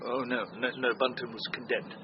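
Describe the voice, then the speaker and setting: A man's voice: medium pitch, flat delivery, a little fast, lightly acted. A male actor playing a blustering, penny-pinching British holiday-camp tycoon in a comic radio drama. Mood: rambling, self-serving. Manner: blustering, shouts commands mid-talk. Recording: dull, lo-fi radio sound, faint noise.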